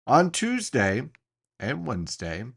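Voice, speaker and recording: A man's voice: medium pitch, huge pitch swings, slow pace, creaky, a bit bright. A man presenting an informal weather briefing as a forecaster, explaining things and stressing key points.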